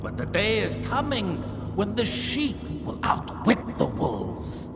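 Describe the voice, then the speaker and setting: A man's voice: high-pitched, wildly swooping pitch, slow, creaky, full character voice. An actor playing an ambitious male vampire lord who speaks in grand, persuasive oratory in a game cutscene. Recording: echoey hall over loud music.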